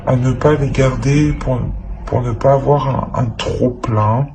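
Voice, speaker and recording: A man's voice: mid-range voice, fairly even intonation, unhurried, crowd noise. A man giving an improvised tarot reading to the viewer, speaking hesitantly and often repeating his phrases. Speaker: tarot reader.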